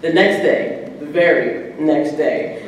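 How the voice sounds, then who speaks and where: A woman in her twenties with an American accent gives a prepared personal speech as a guest, telling stories in an animated way. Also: deep voice, slow pace. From United States. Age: 20s. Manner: animated storytelling with acted-out quotes. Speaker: guest speaker. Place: indoor banquet room at a podium. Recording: echoey hall from far off, faint noise.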